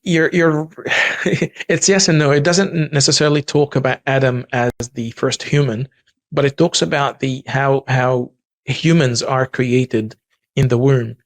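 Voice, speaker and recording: Man, Egyptian accent, native Arabic speaker, gravelly, restarts, dry sound. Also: medium pitch, steady tone, unhurried, no echo. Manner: frequent restarts and repeated words, earnest. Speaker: guest, human rights activist. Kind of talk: interview conversation.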